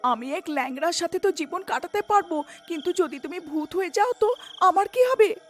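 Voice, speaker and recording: An anxious village wife from a cartoon tale, voiced by a woman, with an extremely high voice that swoops about. She pleads urgently, with music behind her. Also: expressive, fairly quick. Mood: anxious, pleading.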